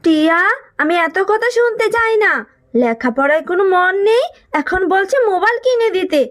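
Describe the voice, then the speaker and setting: A woman plays a careworn cartoon animal mother in a very high voice. She fusses and scolds in an exasperated but motherly way. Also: expressive, moderate pace. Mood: exasperated, scolding. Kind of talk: Bengali children's cartoon voice acting.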